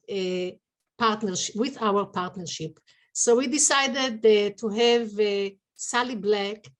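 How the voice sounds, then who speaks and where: Woman, Israeli accent, webinar host, hesitant delivery, lots of fillers, mid-pitched voice, video call. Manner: halting, many fillers and restarts. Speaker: webinar host, sales executive. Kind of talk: business webinar introduction.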